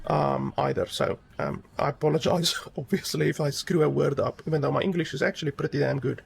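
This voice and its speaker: A man's voice: fairly high pitch, fairly even intonation, a little fast, creaky, slight background noise. A man giving a rambling, casual game review, with many fillers and emphatic repeats.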